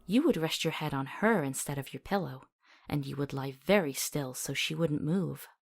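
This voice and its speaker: Gentle, soothing young doctor. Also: female voice, low voice, moderate pace, creaky, a little hiss or hum. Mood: tender, soothing. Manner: tender, soothing, coaxing. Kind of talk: visual novel voice acting, storytelling tone.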